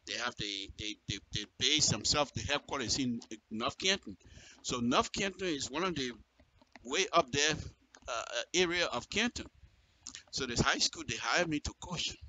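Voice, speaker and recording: Forceful, animated Liberian man. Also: medium pitch, normal speed, slight background noise, low-quality recording. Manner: emphatic, repeats phrases for effect. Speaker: radio commentator. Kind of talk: impassioned political commentary, direct address.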